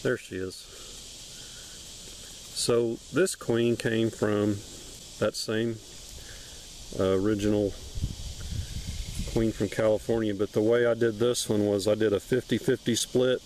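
A man casually narrating a hive inspection outdoors, with an unhurried, plain-spoken, matter-of-fact way of talking. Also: on the low side, steady tone, a little mellow, slight background noise, poor audio. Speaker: beekeeper and video host. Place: outdoors among trees beside beehives.

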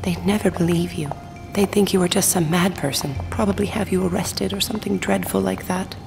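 An actress playing an anxious immortal woman in an audio drama, speaking in a hushed, worried way. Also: lowish voice, steady tone, brisk, gravelly, music in the background. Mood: grim, worried.

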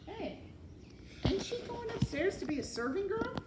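A woman voicing a simple, stubborn young serving girl who blurts and wails in rough, uneducated speech for a storybook. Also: very high voice, sing-song, moderate pace, roomy sound, very noisy, pitched above the performer's own voice. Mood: hopeful, whiny. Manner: uneducated folksy grammar, blurting.

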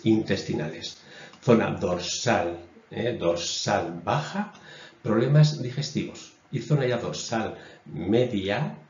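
A man in his sixties, a naturopath and doctor, giving a measured lecture from a webcam at his desk. He repeats key phrases and uses frequent "eh" fillers. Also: fairly low pitch, a little mellow. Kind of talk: explanatory lecture while drawing on a diagram. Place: indoors in front of a stone wall. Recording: roomy echo, dull lo-fi sound.

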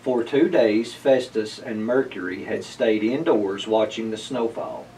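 A man's voice: fairly low pitch, measured pace, dark tone, recorded from a distance, slight background noise, poor audio. A middle-aged man narrating a children's storybook aloud in a library room. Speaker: library board chair reading aloud. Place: indoors, library room with bookshelves.

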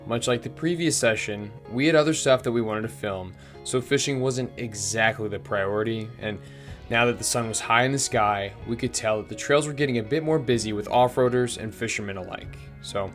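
A man's voice: mid-range voice, normal speed, soft background music. A young man vlogging while fly fishing outdoors by a river, chatting casually and narrating over the footage. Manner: excitable, shouts during catches.

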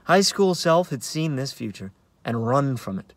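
A man in his thirties with an American accent, an actor reading a play as conversational, halting narration with uneven emphasis, recorded inside a car. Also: medium pitch, very animated, no echo. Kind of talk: dramatic narration of a play read on book. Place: inside a parked car in daylight.

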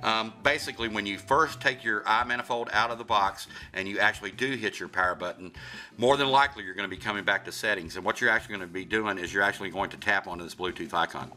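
A man's voice: on the low side, quick pace, bright, forceful tone. A man with a Kentucky accent hosting a hands-on tech tutorial in a folksy, enthusiastic, repetitive way. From Kentucky, USA. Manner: folksy, enthusiastic, repeats himself. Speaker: HVAC contractor hosting tech tip videos.

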